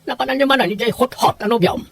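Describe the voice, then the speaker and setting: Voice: mid-range voice, fast talker, lightly acted. A performer playing a teasing, coaxing adult man in an audio drama, in a conversational manner. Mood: inquiring. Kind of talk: Ilocano audio drama dialogue.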